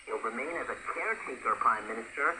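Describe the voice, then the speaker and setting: A man reading scripted international news as an anchor, in a measured, formal and clearly enunciated delivery. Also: medium pitch, animated. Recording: shortwave radio broadcast with loud static noise.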